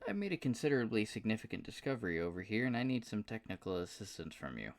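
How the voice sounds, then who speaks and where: A man's voice: on the low side, big pitch swings, fast talker, voice pushed up. A man voicing a confident, secretive male scientist who speaks matter-of-factly and persuasively.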